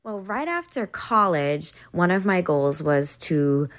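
A young woman from Hawaii, a singer-songwriter, chatting as an interview guest in a soft, hesitant way with many pauses. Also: fairly low pitch, animated. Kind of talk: casual interview chat.

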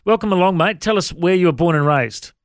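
A man's voice: sits high, animated, a little fast, no echo, clean studio sound. An Australian man hosting an interview show, introducing his guest and asking questions in a chatty way. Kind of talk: interview chat.

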